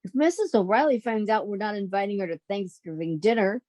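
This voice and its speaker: A woman's voice: medium pitch, brisk, a little mellow, dry recording, lightly acted. A woman acting in an old-time radio comedy as a sensible, theatrically exasperated young working woman with a wry manner.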